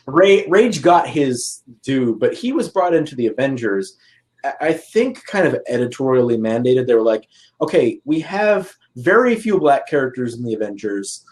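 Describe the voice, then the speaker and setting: Wry man in casual, halting conversation. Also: medium pitch, big pitch swings, darkish tone. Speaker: talk show co-discussant. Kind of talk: casual chat, humorous commentary.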